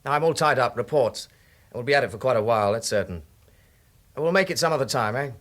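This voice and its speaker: An actor playing a young, gently wry detective sergeant in a radio drama, with a British accent and a deferential, matter-of-fact manner. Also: male voice, medium pitch, animated, fast, natural voice. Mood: businesslike.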